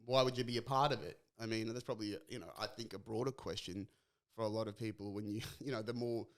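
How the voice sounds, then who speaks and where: A young Australian man hosting a casual podcast chat, relaxed and chatty, laughing easily. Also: on the low side, quick pace. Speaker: podcast host.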